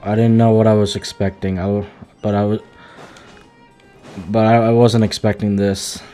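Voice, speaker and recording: Casting: male streamer, low mellow voice, muttered casual reactions to a game, faint music underneath. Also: level tone, normal speed. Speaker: live streamer. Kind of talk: casual gaming commentary.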